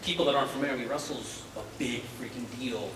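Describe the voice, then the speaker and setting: A man's voice: mid-range voice, quick pace. A man asking questions casually at a live panel, phrasing them in an informal, loose way. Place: convention panel room. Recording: echoey room, distant mic, background noise.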